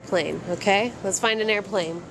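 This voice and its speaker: A young woman filming her toddler at an airport gate, switching between high sing-song baby talk and calm asides to the camera. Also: moderate pace. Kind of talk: casual home video narration. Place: busy airport gate area, indoors. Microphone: handheld camera mic. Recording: noisy handheld camera audio in an airport. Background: airport noise and announcements.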